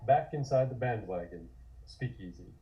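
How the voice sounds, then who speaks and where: A man's voice: lowish voice, expressive, normal speed, muffled recording. A man reading scripted scene narration for a comic table read.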